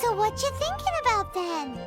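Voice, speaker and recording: A woman's voice: extremely high pitch, very animated, moderate pace, loud music behind the voice. A woman voicing a tiny, cheeky female fairy companion with a childlike manner in an English game dub. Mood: curious. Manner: childlike, refers to self in third person.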